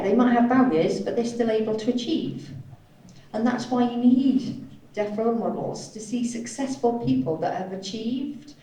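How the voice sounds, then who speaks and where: A woman's voice: fairly high pitch, dark tone. A woman working as a live sign language interpreter, voicing speakers in a panel discussion. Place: echoey event room, indoors. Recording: very echoey hall, distant mic, faint noise.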